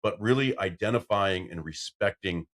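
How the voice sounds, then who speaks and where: Deep-voiced man hosting a podcast. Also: normal speed. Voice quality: deep voice that carries. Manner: loud when excited, storytelling with acted-out quotes. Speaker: podcast host. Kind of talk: casual podcast chat.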